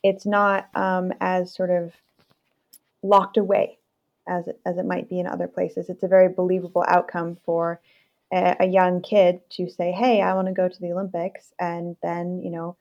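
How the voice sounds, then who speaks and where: A woman in her twenties from Vermont, answering interview questions as an athlete guest in a steady, articulate way. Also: lowish voice, moderate pace. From Vermont, United States. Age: 20s. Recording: lo-fi remote call line.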